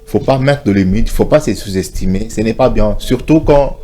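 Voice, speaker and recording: A man's voice: fairly low pitch, moderate pace, warm tone, soft background music. A man co-hosting a morning TV show, giving earnest advice in a casual studio chat and slipping into Lingala.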